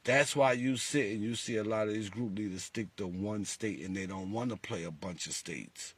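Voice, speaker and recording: A man's voice: lowish voice, normal speed, husky. A man talking casually to his followers as a lottery group leader, asking rhetorical questions and acting out callers. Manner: animated, rhetorical, acts out callers.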